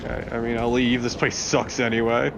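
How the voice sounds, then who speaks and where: A man's voice: medium pitch, level tone, moderate pace, creaky, background noise. A man co-commentating on a let's play with casual, slangy, wry banter. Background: video game music.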